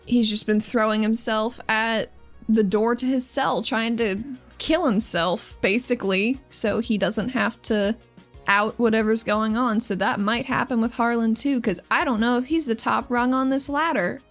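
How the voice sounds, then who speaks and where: A woman's voice: on the high side, steady tone, normal speed, soft background music, close to an everyday voice. A woman voicing a resolute female squad leader, direct and businesslike, in a tabletop roleplaying game. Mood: frank, firm. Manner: direct, frank.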